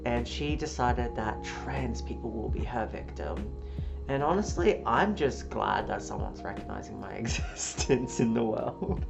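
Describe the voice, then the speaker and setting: Gravelly, sniffly vlogger over guitar music. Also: mid-range voice, measured pace. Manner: energetic, swearing, with frequent cheers and laughs. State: a little sick, sniffly. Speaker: YouTuber. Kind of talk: casual opinion vlog. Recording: dull lo-fi audio with guitar music behind.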